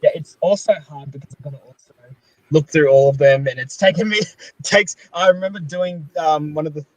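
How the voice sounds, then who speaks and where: A man's voice: fairly high pitch, brisk, dry recording, rough recording. A man hosting a casual online group chat about a project he directs. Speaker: project director and panel host.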